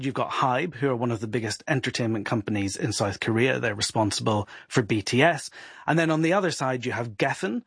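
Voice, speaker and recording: A man, a guest reporter, explaining at length in a steady, informed way on a podcast. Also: mid-range voice, breathy edge, dry recording, clean studio sound.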